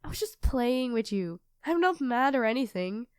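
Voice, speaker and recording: Woman acting as high-voiced, affectionate, teasing girlfriend. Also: normal speed, clean tone, dry recording, clean studio sound. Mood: playful, teasing. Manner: playful, doting, teasing, expressive swings. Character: affectionate, teasing young live-in girlfriend cooking dinner. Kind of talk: ASMR roleplay, audio drama spoken to the listener as partner.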